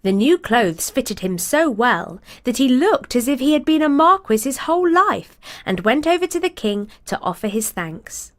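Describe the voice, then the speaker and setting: Woman reading a fairy tale with lively lilt. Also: a little high. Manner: expressive, storybook cadence. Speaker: storyteller. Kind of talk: fairy tale read aloud.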